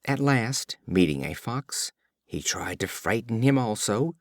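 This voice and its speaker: A man reading storybook fables as a scripted audiobook narration, in an expressive storyteller's delivery. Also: lowish voice, unhurried, no echo, clean studio sound. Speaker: audiobook reader.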